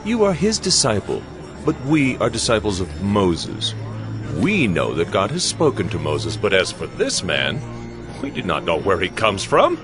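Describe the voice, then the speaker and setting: A man acting a stern, scornful Pharisee interrogator in a dramatized audio Bible, haughty and drawn-out. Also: fairly low pitch, wildly swooping pitch, over music.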